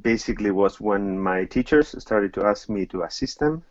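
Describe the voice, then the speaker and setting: A man's voice: fairly low pitch, lively intonation, moderate pace, dry recording, dull audio. A middle-aged man from Argentina, a tango teacher answering interview questions hesitantly, with many fillers and restarts.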